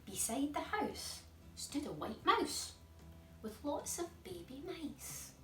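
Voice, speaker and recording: A woman storyteller narrating a picture book for young children in an expressive, emphatic way. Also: sits high, takes it slowly, faint music behind. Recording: echoey room, distant mic.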